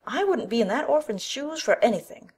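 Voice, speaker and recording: A woman's voice: a little high, big pitch swings, brisk, a little mellow, husky. A woman playing an opinionated, gossipy older village busybody in a dramatic audiobook, with a blunt, scolding, folksy delivery. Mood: astonished, pitying.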